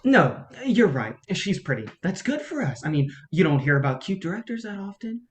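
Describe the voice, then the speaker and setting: A man's voice: high voice, sing-song, normal speed. A man acting in a staged play reading as a film producer's young assistant, understated and sardonic. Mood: dry, needling.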